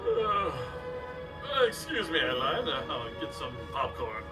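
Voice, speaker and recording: A man's voice: medium pitch, very animated. Guy doing a hemming good-natured old-timey radio suitor, dry and put-upon, music playing behind. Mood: bored, dry. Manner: hesitant, hemming with drawn-out uhs. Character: good-natured, put-upon middle-aged small-town suitor, drily skeptical. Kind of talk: 1940s radio comedy acting. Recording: stage radio play, distant mic, music behind.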